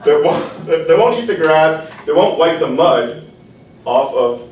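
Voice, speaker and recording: A man's voice: on the high side, lively intonation, takes it slowly, fairly bright tone, a little hiss or hum, pitched above the performer's own voice. A man voicing a rude, cocky little rooster who barks orders in a raised voice. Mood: bossy. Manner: demanding, raised voice. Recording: echoey room, distant mic.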